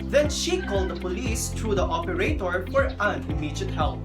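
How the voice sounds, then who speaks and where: A woman's voice: lowish voice, very animated, normal speed. A woman teacher giving scripted, instructional narration for a video lesson. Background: music.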